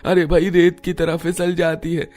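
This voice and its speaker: A man's voice: a little high, completely flat delivery, moderate pace, darkish tone, faint music behind, lightly acted. A man voicing a chatty, nostalgic old village man in a cartoon story, exclaiming as he reminisces. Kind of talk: Hindi cartoon story acting.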